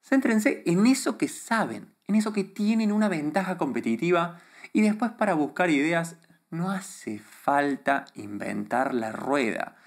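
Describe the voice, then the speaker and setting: A confident Argentine man with a softened accent explains his ideas directly to camera, his voice rising and falling in a very animated way. He sounds emphatic and sure of himself, speaking in a small studio close to a desk microphone. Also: a little high, unhurried. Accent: neutralized Argentine Spanish. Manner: confident, emphatic, gestures a lot with his hands. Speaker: YouTube creator. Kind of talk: direct-to-camera advice talk. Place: small studio room before a plain grey backdrop. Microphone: desk microphone in front of him.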